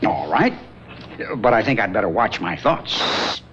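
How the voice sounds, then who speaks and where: An actor playing Bill, a wry, teasing skeptic in a 1970s radio drama. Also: male voice, on the low side, sing-song, a little slow, a bit bright. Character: wry joking man named Bill, skeptical friend. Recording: dull old radio broadcast with faint hiss.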